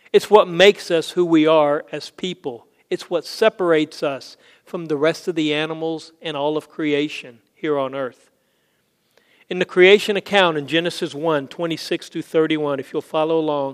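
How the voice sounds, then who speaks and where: A man's voice: on the high side, normal speed, smooth. Male pastor preaching in an echoing church. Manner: earnest preaching, rising on key phrases then dropping soft. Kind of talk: sermon.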